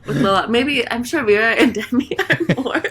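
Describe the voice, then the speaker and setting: A woman's voice: on the high side, expressive, moderate pace, fairly bright tone. A woman co-hosting a podcast in informal, giggly, conversational chat. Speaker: podcast co-host. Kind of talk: casual chat. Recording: clear background noise.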